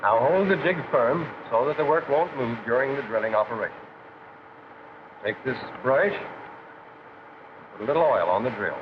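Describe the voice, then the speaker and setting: An actor with an American accent playing a practical factory instructor who explains things methodically in a 1940s training film. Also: male voice, medium pitch, lively intonation, moderate pace, long pauses, lightly acted. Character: plain-spoken, practical male factory instructor. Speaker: shop floor instructor. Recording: dull, hissy 1940s film soundtrack.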